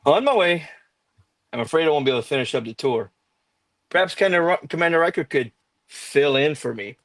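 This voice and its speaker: Woman reading as a polite, probing starship doctor, very animated. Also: low-pitched, brisk, no room sound, clean studio sound. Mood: polite, guarded. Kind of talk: live script table read.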